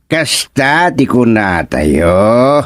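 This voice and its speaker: A man plays an older village listener in a comic radio serial, with an Ilocano accent. He is curious and hesitant, doubling up on little words. The delivery is unhurried and drawn out, the pitch medium and very animated. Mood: musing. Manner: drawling, repeats words and interjections. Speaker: radio drama actor. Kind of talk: comedic radio serial dialogue.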